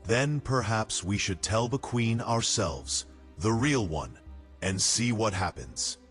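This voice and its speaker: Guy doing a careful, wry castle soldier, voice of reason, fantasy drama, lively pitch, faint music under it. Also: takes it slowly. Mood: conspiratorial. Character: cautious, wry, level-headed male soldier, the schemer's voice of reason.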